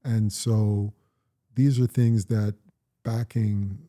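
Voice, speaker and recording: A middle-aged Jamaican man speaking in a very dark, mellow tone. His voice sits a little low, and he tells his stories in a measured, reflective way. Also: flat delivery. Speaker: interviewee, parent. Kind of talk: casual conversation.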